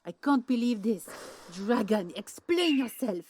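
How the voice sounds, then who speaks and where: A woman's voice: fairly high pitch, lively intonation, measured pace, darkish tone, slight background noise. A woman voicing a haughty, indignant queen who sputters with outrage and hurls insults. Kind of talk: audio roleplay acting.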